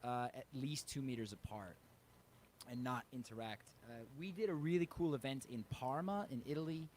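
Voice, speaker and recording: A man's voice: medium pitch, moderate pace, darkish tone, slight background noise. A man who works as a touring musician, talking casually in an interview. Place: live online interview.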